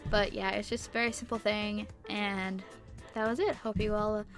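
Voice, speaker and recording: A young woman with a stuffy nose and sore throat, rambling chattily through a casual tutorial voice-over on a boom-arm mic. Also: flat delivery, normal speed, faint music behind. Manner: rambling, chatty, lots of fillers. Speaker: YouTuber. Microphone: microphone on a boom arm.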